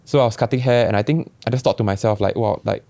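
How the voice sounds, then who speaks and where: A young man with a Singaporean accent, telling his story in a relaxed, reflective way with many restarts. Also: fairly low pitch, quick pace, vocal fry, no room sound. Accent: Singaporean English. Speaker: barber guest. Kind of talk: casual podcast chat.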